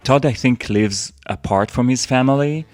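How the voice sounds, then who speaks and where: A man with an Israeli accent co-hosting a podcast, speaking haltingly and tripping over long words. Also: medium pitch, no room sound, studio quality. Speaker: podcast co-host. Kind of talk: casual podcast chat.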